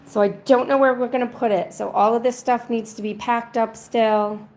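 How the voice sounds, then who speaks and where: A woman hosting a casual livestream, her voice raspy from being sick, talking hesitantly with many ums while she walks through a half-packed apartment and reads viewer comments aloud. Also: a little high, steady tone, darkish tone. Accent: New England. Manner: slow, walking through rooms listing items. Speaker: vlog host on a livestream. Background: road noise.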